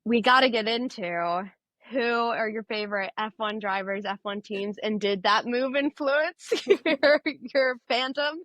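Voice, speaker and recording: A woman's voice: fairly high pitch, a little slow, fairly bright tone, no echo. A young woman hosting a podcast interview, gushing and enthusiastic as she introduces and questions her guest. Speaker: podcast host.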